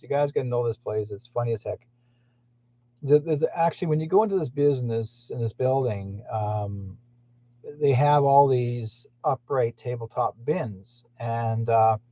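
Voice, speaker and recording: A man's voice: medium pitch, dark tone. A man who hosts a channel, rambling indignantly in a monologue and speaking straight to his listeners. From Canada. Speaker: channel host. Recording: muffled lo-fi recording.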